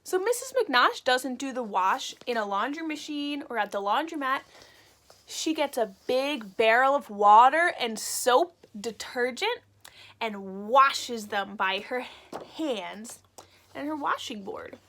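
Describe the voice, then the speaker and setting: A woman with a high, bright voice reads a picture book to young children in the manner of a teacher. Her delivery is unhurried and expressive, and she stops to put words into simple terms. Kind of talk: children's read-aloud with simple explanations.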